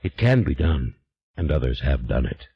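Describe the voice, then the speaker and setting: A man voicing an invisible ancient male demon, speaking slowly in a hushed, ominous way. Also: rumbling low, very animated, creaky, husky, muffled recording, voice pushed down, full character voice.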